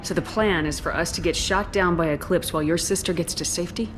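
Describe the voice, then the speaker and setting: An actress playing a steady, direct female military commander in a video game, blunt and controlled. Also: fairly low pitch, fast, natural voice. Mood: steady, practical. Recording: long reverb, music behind.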